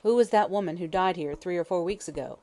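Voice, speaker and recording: A woman's voice: fairly low pitch, quick pace, warm tone, close to an everyday voice. A woman voicing a male police detective who speaks sharply and brusquely. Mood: curt.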